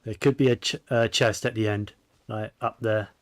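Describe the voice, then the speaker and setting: A man giving casual gameplay commentary, musing to himself in a low-key way. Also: fairly even intonation, normal speed, dry recording. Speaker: gaming streamer. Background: faint game music.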